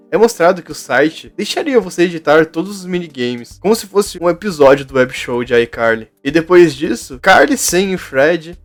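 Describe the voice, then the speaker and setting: A man with a Brazilian accent narrating video commentary in a casual storytelling way, with dry asides. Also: a little high.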